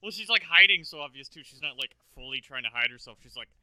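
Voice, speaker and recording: A man with a fairly high, sharply bright voice talks in a dry, echo-free sound as a podcast co-host. He discusses a film casually, bluntly and argumentatively, swearing as he goes. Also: lively intonation, fast.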